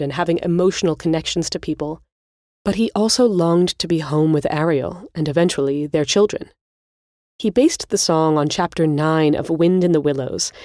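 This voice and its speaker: A woman narrates as a podcast host with a slightly low, softly mellow voice. Her delivery is scripted, measured and explanatory, like a spoken essay, captured close and dry. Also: no room sound.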